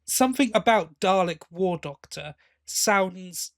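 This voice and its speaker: Higher-voiced man in casual podcast chat. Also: lively intonation, slow pace, gravelly, dry recording, studio quality. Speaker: podcast host. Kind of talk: casual fan review chat.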